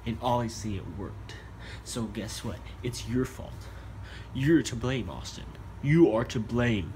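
A man's voice: medium pitch, animated, a little slow, warm tone, a little hiss or hum, lightly acted, low-quality recording. A young man voicing a spiteful male demon trapped in a mirror, taunting and mocking, recorded on a phone in a small bedroom. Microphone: phone camera held at arm's length.

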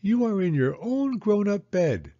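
Man putting on a high-pitched little girl mouse. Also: big pitch swings, a little slow, mellow, no room sound, lo-fi sound. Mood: tender. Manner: earnest, childlike. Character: small eager young girl mouse, wistful, sweet. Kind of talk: storybook character voice.

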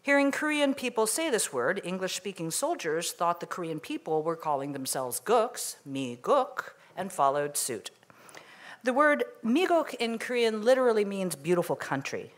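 A woman in her forties giving a poetry reading on a museum stage, speaking in a measured, deliberate way into a stand microphone. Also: medium pitch. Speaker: poet. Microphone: microphone on a stand at a lectern.

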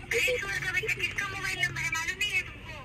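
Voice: extremely high pitch, steady tone, fast, music in the background. A prerecorded performer playing an indignant, scolding mother on a prank phone call. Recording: very noisy playback from phone speaker.

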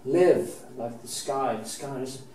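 Norwegian guy around 40 talking through an oil painting, slow and kinda halting, mic a bit far away, roomy studio with faint hum. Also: medium pitch, dark tone. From Norway. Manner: halting, restarts, trails off, swears casually. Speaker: painting teacher. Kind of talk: casual painting demonstration. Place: art studio, indoors.

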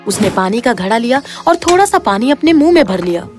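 A female storyteller reads a scripted fairy tale. Her voice is high and moves in lively, animated contours. Music plays clearly behind the narration. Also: brisk. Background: background music.

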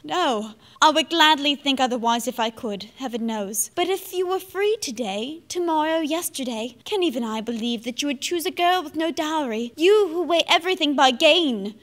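High, sorrowful young fiancée. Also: female voice, lively intonation, moderate pace, few pauses, a bit bright. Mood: sad, resigned. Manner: formal, earnest. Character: sorrowful, dignified young fiancée releasing her betrothed. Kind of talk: live radio drama acting. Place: auditorium stage, live performance.

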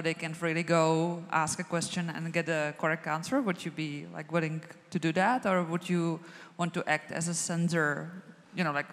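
A woman asking an informal question from the audience at a conference. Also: sits low. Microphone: passed audience microphone. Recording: echoey concert hall.

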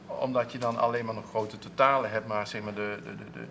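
A man's voice: on the low side, fairly even intonation, fairly quick, vocal fry, pushed and bright, slight background noise. Hesitant man answering in a studio. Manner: hesitant, many restarts and fillers. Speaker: council clerk. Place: TV studio with seated audience, at a table.

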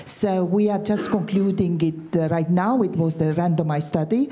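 An older woman from Estonia with an Estonian accent, speaking as a conference panelist into a handheld mic, pausing often with fillers. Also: on the low side, dark tone. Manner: hesitant, many filler sounds. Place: large conference hall stage. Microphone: handheld microphone.

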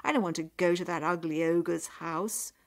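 A woman's voice: lowish voice, fairly even intonation, normal speed, lightly acted. A woman voicing a lazy, selfish young girl in a storybook tale, bossy and complaining. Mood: sulky refusal.

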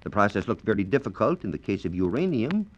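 Low-voiced American 1940s radio science presenter, man. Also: sing-song, quick pace. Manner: formal, carefully phrased, explanatory. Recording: dull lo-fi 1940s radio transcription disc.

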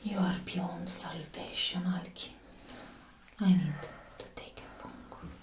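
A woman playing a stern, haughty alien government agent in a breathy, whispery ASMR roleplay, speaking deliberately. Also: lowish voice, steady tone. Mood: cold, disapproving. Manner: slow, deliberate, condescending. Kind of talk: soft-spoken ASMR roleplay, half whispered. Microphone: close microphone. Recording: close-miked with audible background hiss.

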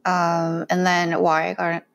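A woman's voice: lowish voice, monotone, slow pace, vocal fry. A young woman from Korea talking casually in an interview, chatty and laughing often, on a close mic at home. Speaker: guest, cooking content creator. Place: small bright room at home, indoors. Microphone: close studio mic with earphones.

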